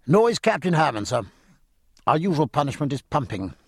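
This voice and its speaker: A man's voice: a little high, very animated, fairly quick, breathy edge, no echo, clean studio sound, voice pushed up. A man voicing a deferential ship's officer who explains matters respectfully to his captain.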